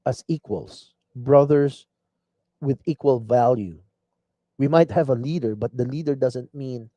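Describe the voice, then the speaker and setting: A man's voice: mid-range voice, warm tone, no echo, dull audio. A man hosting a live online show, delivering an earnest, rambling political commentary monologue full of restarts. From Philippines.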